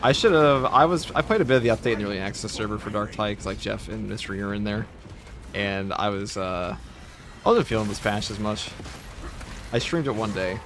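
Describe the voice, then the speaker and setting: A man's voice: on the low side, brisk, fairly bright tone. A man hosting a gaming livestream, reading viewer messages aloud and riffing on them casually. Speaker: streamer host. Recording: background noise under voice.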